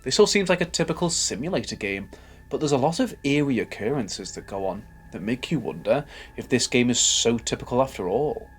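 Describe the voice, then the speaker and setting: A man's voice: mid-range voice, lively intonation, fairly quick, a little hiss or hum. A man narrating a scripted horror game video essay with a dramatic, storytelling delivery, posing rhetorical questions. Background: background music.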